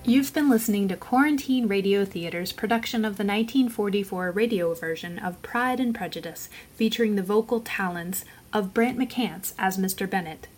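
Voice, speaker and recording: A woman's voice: medium pitch, normal speed, few pauses, faint music behind. A woman announcer reading scripted credits for a radio drama.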